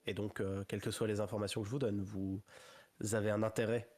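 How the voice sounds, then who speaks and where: A game master voicing a wary young man who bargains over his father's debt, talking in halting, hedging restarts. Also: fairly low pitch, little pitch movement, darkish tone, lightly acted. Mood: guarded. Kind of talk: voicing a character in a tabletop game.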